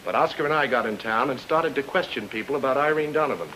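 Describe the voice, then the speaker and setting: A man's voice: mid-range voice, fast talker, pushed and bright, close to an everyday voice. A man playing a hard-boiled, sardonic private detective in an old-time radio noir, with a wry, clipped way of explaining things. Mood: confident explaining. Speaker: actor in a radio detective drama. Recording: noisy old radio recording.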